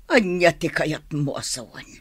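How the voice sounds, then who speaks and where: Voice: mid-range voice, lively intonation, unhurried, slightly rough, dry recording. A performer playing a confrontational, defensive middle-aged mother in a Filipino radio drama. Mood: irritated.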